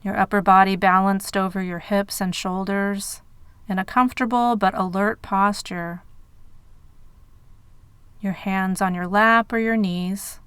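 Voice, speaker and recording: A woman guiding a meditation in measured phrases with pauses between them. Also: mid-range voice, fairly even intonation, fairly bright tone, smooth, dry recording. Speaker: meditation guide. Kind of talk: guided meditation narration.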